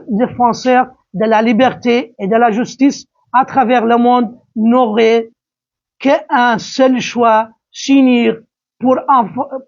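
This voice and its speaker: Halting Arabic-speaking man reading a speech. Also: extremely high pitch. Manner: halting, repeats and restarts words. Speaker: speaker at an activist event. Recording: muffled recording.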